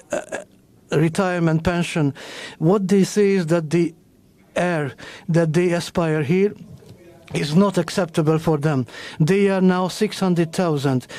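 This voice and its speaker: A man addresses a rally crowd, his voice sitting high for a man. He speaks haltingly and keeps restarting and correcting his phrasing as he builds his political points. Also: darkish tone. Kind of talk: formal speech to an audience.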